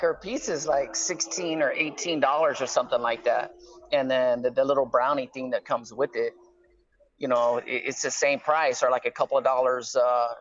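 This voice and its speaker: Middle-aged male caller, conversational explainer, medium pitch, slightly muffled lo-fi phone sound. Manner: conversational, explanatory, peppers talk with "right?" and "you know". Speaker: guest calling from prison. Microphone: phone line. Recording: slightly dull, lo-fi phone call.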